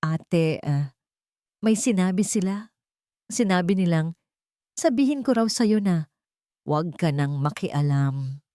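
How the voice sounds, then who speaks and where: A schoolboy little brother acted by a woman narrator in an audio drama. He speaks haltingly with lively pitch, putting on a brave face though close to tears. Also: no room sound. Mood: tearful.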